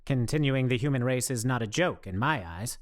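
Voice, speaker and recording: A man's voice: mid-range voice, animated, a little fast, lightly acted. A man playing an earnest, socially awkward survivor in a radio play, speaking in stiff, wordy, over-precise phrases. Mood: matter-of-fact. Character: earnest, socially awkward, overly formal male zombie survivor.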